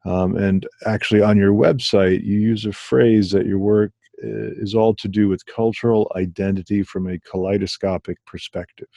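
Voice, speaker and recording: A man interviewing an artist, asking measured questions with frequent ums. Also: lowish voice, steady tone, gravelly, warm tone, no echo.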